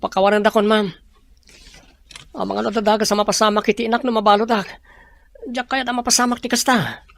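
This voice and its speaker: A man's voice: high-pitched, level tone, brisk, gravelly, long pauses, fairly bright tone. An actor with a Filipino accent playing a remorseful, ashamed young man in a radio drama. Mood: pleading.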